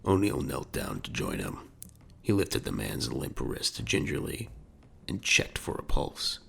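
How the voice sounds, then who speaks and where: A man's voice: a bass voice, sing-song, unhurried, creaky, bright, forceful tone, soft background music. A man narrating an audio drama in a dramatic, scripted storytelling style.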